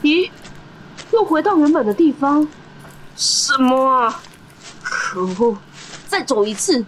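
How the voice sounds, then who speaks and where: Girl doing a young boy in an audio drama, high voice, unhurried, earnest and stubborn. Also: sing-song, a little hiss or hum, exaggerated voice, rough recording. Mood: frustrated musing. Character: determined young boy worried for his older brother. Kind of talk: amateur audio drama acting.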